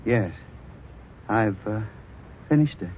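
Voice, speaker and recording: An actor playing an obsessed, jealous, murderous sculptor in vintage radio horror, intense, theatrical and menacing. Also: male voice, on the low side, lively intonation, takes it slowly. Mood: guarded calm. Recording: muffled old radio recording, music behind.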